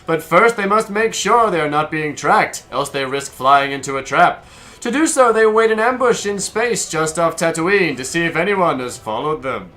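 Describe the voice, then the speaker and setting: Male narrator: dramatic adventure-serial announcing, higher pitch, bright tone. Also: expressive, normal speed. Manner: theatrical announcer delivery.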